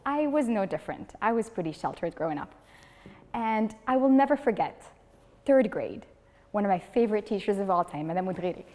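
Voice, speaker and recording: A woman's voice: medium pitch, brisk. A woman, giving a humorous prepared speech at a speaking club and acting out the people in her stories. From Morocco. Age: 30s. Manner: lively storytelling, acting out quoted people. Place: meeting room of a speaking club. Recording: long reverb of a hall.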